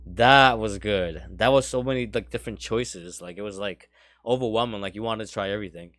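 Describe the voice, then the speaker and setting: Low-voiced man chatting casually, close and dry. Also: brisk, fairly bright tone, dry recording. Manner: jokey, laughs often. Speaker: podcast co-host. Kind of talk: casual chat, reading out viewer comments.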